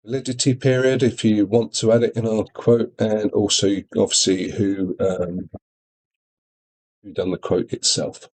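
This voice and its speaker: A man demonstrating software in an informal tutorial, explaining things step by step. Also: on the low side, flat delivery, unhurried, poor audio. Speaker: product demonstrator.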